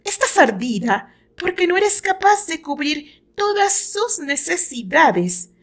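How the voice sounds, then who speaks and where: A woman voicing a frail but bitter, defiant young-sounding rival in an audio romance drama, with taunting, drawn-out phrasing. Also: extremely high pitch, sing-song, a bit bright, voice pushed up, full character voice.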